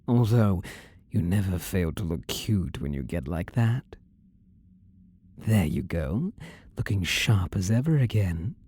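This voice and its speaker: A man's voice: fairly low pitch, mellow, slightly rough, full character voice. A man playing a possessive demon-possessed ex-boyfriend in a scripted roleplay audio drama, condescending and teasing with coaxing endearments. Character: controlling, possessive demon-possessed ex-boyfriend, smug and menacingly tender.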